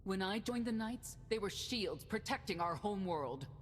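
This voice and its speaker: A woman's voice: medium pitch, animated, normal speed, faint music behind, close to an everyday voice. An actress playing a stern, disgraced woman knight who speaks firmly and with clipped honor. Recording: game audio, reverberant, with hiss.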